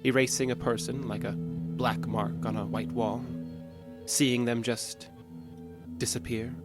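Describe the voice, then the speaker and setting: An actor playing a controlled, secretly murderous male therapy patient in an audio drama, speaking in a deliberate, halting, guarded way. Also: mid-range voice, steady tone, close to an everyday voice. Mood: brooding, intense. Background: music.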